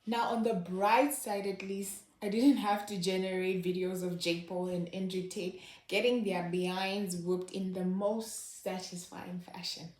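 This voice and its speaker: A woman delivers scripted satirical commentary in a sardonic, knowing tone. Her voice sits at an ordinary middle pitch, and the recording carries a noticeable roomy echo. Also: steady tone, measured pace, a little mellow. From Zimbabwe. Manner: sardonic, wry. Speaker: host. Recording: echoey room.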